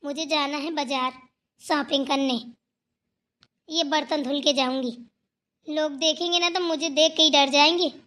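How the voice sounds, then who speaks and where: Woman voicing a very high-pitched, haughty sister-in-law. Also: fairly even intonation, normal speed, pauses often, pushed and bright. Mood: demanding, scornful. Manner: scolding, sarcastic, dismissive. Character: haughty, quarrelsome elder sister-in-law in village household. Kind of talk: acted dialogue in Hindi family cartoon.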